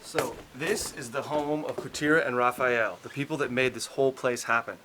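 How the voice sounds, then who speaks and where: A man's voice: mid-range voice, normal speed, noisy background. A man hosting a travel show, presenting to camera in an upbeat, chatty way.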